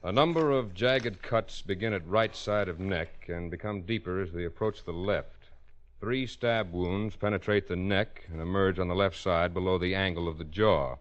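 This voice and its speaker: A man's voice: deep voice, normal speed, dull audio, natural voice. An actor playing a terse, commanding male police lieutenant in an old radio crime drama. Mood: grim, authoritative. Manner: terse, matter-of-fact, authoritative. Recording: faintly noisy old radio recording.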